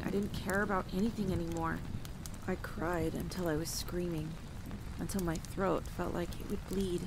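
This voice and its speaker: A woman playing a grieving monster hunter who tells a somber, deliberate campfire story in an audio roleplay, with dramatic expression. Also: on the low side, vocal fry, noisy background. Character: grieving young woman vampire hunter recounting her tragic childhood. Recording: rain and campfire ambience behind voice. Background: rain, night ambience.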